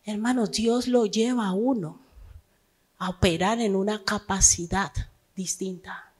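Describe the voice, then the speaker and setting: A woman's voice: mid-range voice, steady tone, a little slow, darkish tone, slightly rough. A woman pastor giving a sermon, telling a personal testimony in a conversational way and building to fervent preaching. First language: Spanish.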